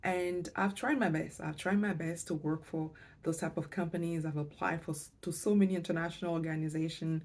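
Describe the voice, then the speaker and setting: Casting: middle-aged female vlogger, mother tongue, deep warm voice, hesitant and reflective delivery. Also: fairly even intonation, brisk, few pauses. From Canada, moved there with parents, lived in Montreal. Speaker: financial coach and vlogger. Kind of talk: personal vlog talk to camera.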